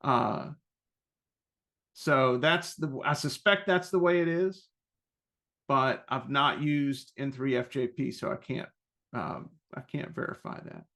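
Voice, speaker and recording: A man presenting an informal webinar question-and-answer session, explaining things in a halting, self-correcting way. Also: mid-range voice, gravelly, a bit bright.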